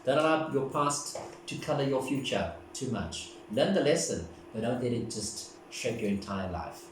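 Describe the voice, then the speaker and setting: A man from Africa, giving a casual, gentle, meandering tarot reading and often repeating himself. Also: medium pitch, big pitch swings, moderate pace. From South Africa. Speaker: tarot card reader. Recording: very echoey room, distant mic, background noise.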